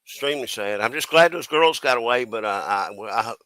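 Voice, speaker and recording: Bright-voiced man, halting and folksy, close dry sound. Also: mid-range voice, lively intonation, dry recording. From US East Coast. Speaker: panelist on a crime chat stream. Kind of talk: casual chat, giving opinions.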